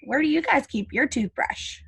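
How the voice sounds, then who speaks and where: Higher-pitched woman reading to preschoolers. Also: normal speed, gravelly. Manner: chatty asides to children, expressive dialogue reading. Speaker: preschool teacher reading aloud to class. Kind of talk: read-aloud storytelling for young children.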